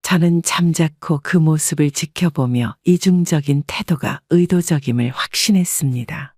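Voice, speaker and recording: A woman narrating a family story in a calm, measured way, recorded on a close studio mic. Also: low voice, dry recording.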